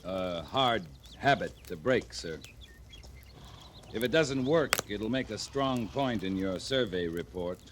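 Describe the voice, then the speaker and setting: An actor playing a calm, authoritative frontier cavalry captain in an old-time radio western, clipped and dryly understated. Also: male voice, lowish voice, takes it slowly. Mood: quietly resolute. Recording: noisy vintage radio drama recording.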